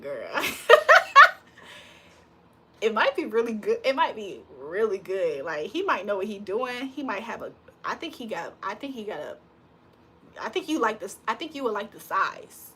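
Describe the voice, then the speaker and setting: A woman's voice: mid-range voice, big pitch swings, normal speed, a bit bright, slight background noise. A woman doing a casual livestream tarot reading, chatting with viewers in slangy talk and reading their comments aloud.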